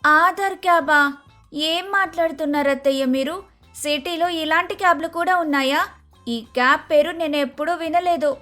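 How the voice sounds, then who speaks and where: A woman's voice: high voice, normal speed, pushed and bright. A woman playing an eager, naive young daughter-in-law in a dramatised moral story, chatty, exclamatory and questioning. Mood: surprised, complaining. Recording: music under the voice.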